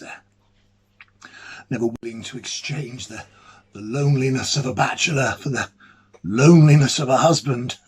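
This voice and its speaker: A man playing an ailing, lonely, fussy bachelor academic in a dramatic ghost-story monologue, musing hesitantly and theatrically in a husky voice. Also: medium pitch, exaggerated voice. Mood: resigned unease. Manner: hesitant, musing, theatrical, with murmured asides and swelling emphasis.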